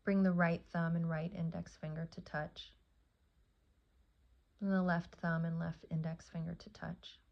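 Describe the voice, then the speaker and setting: A woman with a low, gravelly voice leads a guided meditation. She speaks soothingly in short phrases, leaving long pauses between them. The recording sounds slightly dull and muffled. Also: little pitch movement. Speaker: yoga nidra teacher and meditation guide.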